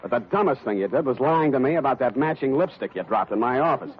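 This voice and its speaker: A man's voice: medium pitch, lively intonation, fast, natural voice. An actor playing a hard-boiled male private detective in a 1940s radio drama, talking in a dry wisecracking drawl. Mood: accusing. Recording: tinny lo-fi old radio broadcast with hiss.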